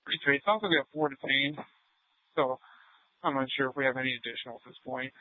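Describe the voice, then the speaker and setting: A man's voice: mid-range voice, quick pace, no echo. A male police officer giving status reports from the field over a police radio. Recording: tinny narrow-band police scanner audio.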